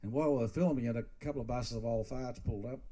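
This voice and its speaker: A man's voice: mid-range voice, very fast. A man from Northern Victoria with an Australian accent, a singer-songwriter telling rambling stories with broad slang between songs at a live show. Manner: relaxed rambling yarn-spinner, broad slang. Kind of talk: storytelling between songs at a concert. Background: guitar playing.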